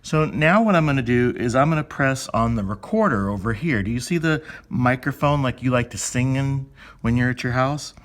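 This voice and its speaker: Guy teacher talking little kids through steps, chatty, thinks out loud, asks them questions, medium pitch. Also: animated, normal speed. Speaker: elementary school teacher. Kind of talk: step-by-step lesson for young children, thinking aloud.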